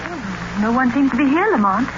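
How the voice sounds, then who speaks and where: A woman's voice: fairly high pitch, big pitch swings, normal speed. An actress playing an anxious, alert lady companion in an old-time radio drama. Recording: muffled, echoey, noisy old radio recording.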